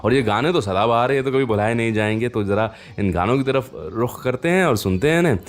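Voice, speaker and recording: A man's voice: mid-range voice, quick pace. A man presents a music radio show, telling anecdotes in a chatty, conversational way that speaks straight to the listener. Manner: chatty, addresses listeners directly with frequent rhetorical fillers.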